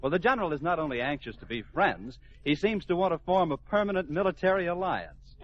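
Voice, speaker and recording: Male American radio narrator, mock-dramatic and lively, music underneath, dull archival broadcast sound. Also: fairly high pitch, fairly quick. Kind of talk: scripted 1940s-50s radio comedy narration. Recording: muffled old-time radio recording.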